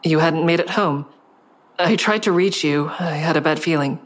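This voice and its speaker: A guarded, sleepless woman with a low voice. She confides in the listener with understated delivery, as the narrator and lead of an audio drama. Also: moderate pace. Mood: guarded concern. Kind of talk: audio drama first-person narration and dialogue.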